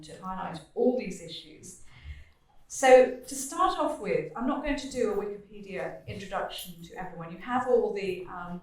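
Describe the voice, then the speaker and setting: A woman's voice: mid-range voice, slight background noise. A woman moderating a panel at a literary event, chatty and full of fillers. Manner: chatty, many fillers, laughs easily. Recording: echoey hall, far from mic.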